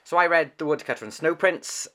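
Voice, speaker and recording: A man's voice: a little high, brisk, pushed and bright. A man hosting an interview podcast, conversational and enthusiastic, introducing his guest and asking curious questions. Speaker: podcast host.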